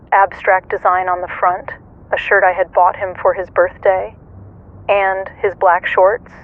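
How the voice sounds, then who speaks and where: A woman's voice: mid-range voice, close to an everyday voice. Grieving mother played by a woman, muffled radio sound. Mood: shaken, sombre. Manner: halting, sorrowful. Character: grieving, guilt-ridden single mother of a killer son. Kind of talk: emotional interview testimony in radio horror drama.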